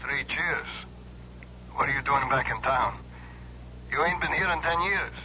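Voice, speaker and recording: An actor playing a brash, sardonic reformed crook who runs a mortuary, heard through a telephone filter in a 1970s radio drama. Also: male voice, mid-range voice, a little slow, sharp tone. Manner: sardonic, colloquial. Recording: tinny, hissy telephone effect, old radio.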